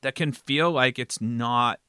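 A man interviewing in a casual, animated way, thinking aloud and checking in with his listener as he goes. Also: mid-range voice, takes it slowly, smooth, dry recording. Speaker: podcast interviewer and show founder.

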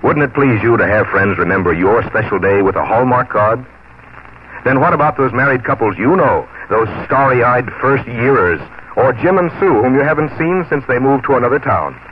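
An American man announcing and pitching products in a polished, scripted 1940s radio style. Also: animated, normal speed, a little hiss or hum. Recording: muffled 1940s radio broadcast, music behind.

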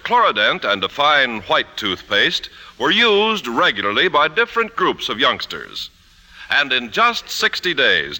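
Bright, punchy 1950s American radio announcer man. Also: mid-range voice, low-quality recording. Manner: emphatic, polished sales delivery. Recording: 1950s radio broadcast, music behind.

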